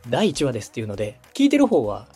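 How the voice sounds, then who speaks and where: A man from Osaka hosts as a radio director giving advice. His voice is husky and moves freely in pitch. He explains things politely, one step at a time, in a conversational coaching style, with faint music behind him. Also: wildly swooping pitch, racing, a little mellow. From Osaka, Japan.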